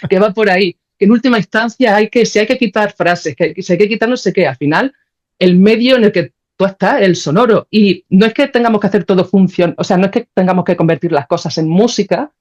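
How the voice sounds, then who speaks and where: A woman with a Spanish accent from Spain, explaining things in a casual, animated, colloquial way as a podcast guest, often checking that she is followed. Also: mid-range voice, brisk, highs cut off. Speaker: podcast guest, sound artist.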